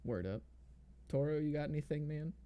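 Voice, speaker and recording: A man's voice: fairly high pitch, expressive, fairly quick, dull audio. A man commentating a video game speedrun race, explaining in a laid-back way with lots of fillers.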